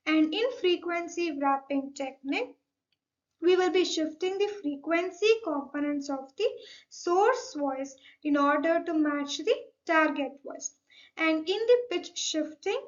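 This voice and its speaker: Young indian accent woman doing a methodical explainer presentation, pretty high voice, kinda muffled lofi mic. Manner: methodical, signposting each point. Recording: dull, lo-fi microphone sound.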